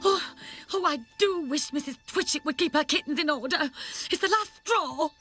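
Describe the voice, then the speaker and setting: A woman's voice: high voice, normal speed, over loud music, natural voice. A woman voicing a fretful, disapproving farmyard animal in a children's cartoon, grumbling with exasperation. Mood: exasperated. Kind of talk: animated children's storybook acting.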